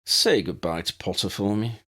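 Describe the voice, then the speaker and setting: A man's voice: fairly low pitch, pushed and bright, voice pushed up. A man voicing a cold, disdainful, aristocratic wizard father who sneers smugly in a fantasy audiobook. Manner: disdainful, smug, drawn-out delivery.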